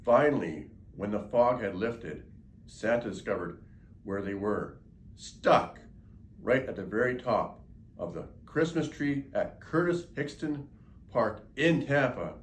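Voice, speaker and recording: Guy reading a kids book out loud, echoey, noisy recording. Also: on the low side, lively intonation, slow. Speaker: storybook reader. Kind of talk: children's story read aloud. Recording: echoey room, clear background noise.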